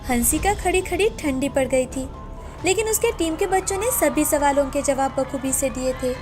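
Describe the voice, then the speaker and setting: A woman's voice: sits high, darkish tone. A woman narrator reading a scripted moral story for children.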